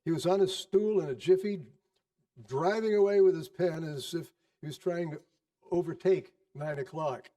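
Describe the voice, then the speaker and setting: A man narrating a storybook tale theatrically, expressive, savouring repetitions and pauses. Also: fairly high pitch. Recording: distant microphone.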